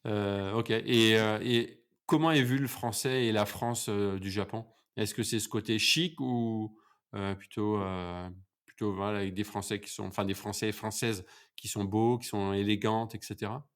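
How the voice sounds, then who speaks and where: A male podcast host who interviews a guest in a relaxed, chatty way, with many fillers and repeated words. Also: fairly low pitch, moderate pace, smooth. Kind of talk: casual interview, then a scripted outro.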